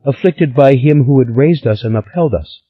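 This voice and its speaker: A man's voice: medium pitch, normal speed, breathy edge, pitched above the performer's own voice, natural voice. A man voicing a sulky, melodramatic young woman niece in an audiobook. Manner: dramatic, sighing. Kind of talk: audiobook character voice. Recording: slightly dull lo-fi recording.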